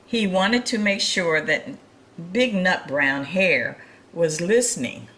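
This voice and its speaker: Low-voiced woman reading a children's storybook, unhurried. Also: expressive, a bit bright. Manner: encouraging, chuckles, exclaims over the pictures. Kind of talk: read-aloud storytelling with chatty asides to children.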